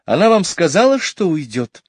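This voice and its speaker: A man voicing a fussy, indignant mother in a storybook reading, in a high, shrill falsetto. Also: sing-song, normal speed, husky, no room sound, clean studio sound. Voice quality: high falsetto, pitch far above his own. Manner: exclaiming, offended, scolding.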